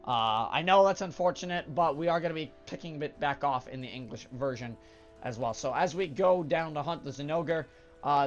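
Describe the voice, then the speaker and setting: A young man with a hoarse, sore-throated voice, casually commenting on a game he plays, with filler sounds. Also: fairly high pitch, fairly bright tone, lo-fi sound. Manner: casual, upbeat explanatory, frequent "uh" fillers. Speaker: gaming channel host. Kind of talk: let's play commentary. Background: game music.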